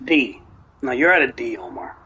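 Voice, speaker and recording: A young man streaming a game, rambling and ranting, swearing now and then, his voice going hoarse. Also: medium pitch, very animated, moderate pace, noisy background. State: voice getting hoarse. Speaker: gaming streamer. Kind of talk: rambling live-stream chat.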